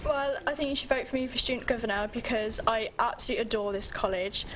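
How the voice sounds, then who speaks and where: A young woman candidate making a short, self-assured pitch. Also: fast talker, background noise.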